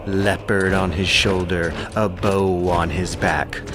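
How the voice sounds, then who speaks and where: A man's voice: low voice, little pitch movement, slow pace, noisy background. A man narrating an epic audiobook in a solemn, poetic, dramatic style. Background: sound effects of outdoor ambience.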